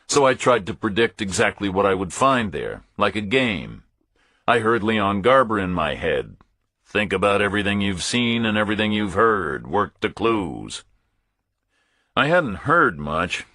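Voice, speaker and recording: A man reads a first-person thriller as an audiobook. His voice sits fairly low, with a forceful edge and a raspy grain. The sound is dry and close, with the measured phrasing of scripted narration. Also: no room sound.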